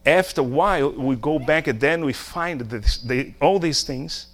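A middle-aged Brazilian preacher delivers a sermon in a slightly high male voice. He takes his time, breaking his thoughts into short emphatic phrases and putting questions to his listeners in a Brazilian accent. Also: measured pace. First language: Portuguese.

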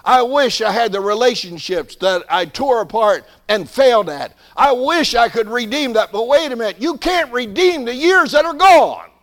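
A man in his sixties preaching a sermon, swelling to emphatic peaks and dropping to quiet asides, often repeating words as he builds a point. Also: very high voice, animated, normal speed, hardly any pauses. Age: 60s. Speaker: pastor.

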